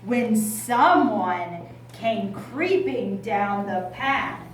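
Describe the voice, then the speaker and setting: A woman narrating a children's puppet show in an expressive storytelling style with dramatic emphasis. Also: mid-range voice, extremely slow. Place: library room, recorded from a distance. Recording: very echoey room, distant, loud noise.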